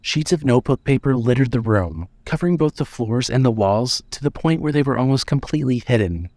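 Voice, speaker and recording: A man reads dramatic fiction as its narrator. His voice sits at an average male pitch, and the delivery is measured and scripted. The sound is dry, with hardly any room in it. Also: animated, darkish tone, no room sound.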